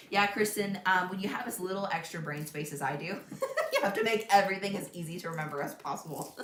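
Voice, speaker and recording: A young woman hosting a live crafting stream from her home craft room, chatting casually, thinking aloud, laughing often and reading out viewer comments in a loud voice. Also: lowish voice, reverberant, a little hiss or hum. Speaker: crafting livestream host. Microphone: tablet mic across the room.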